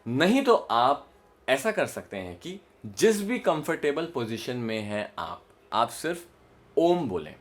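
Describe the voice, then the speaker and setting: A man's voice: mid-range voice, sing-song, a little slow. Indian man teaching, emphatic delivery. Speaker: voice modulation coach. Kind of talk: instructional explanation to camera with demonstrations.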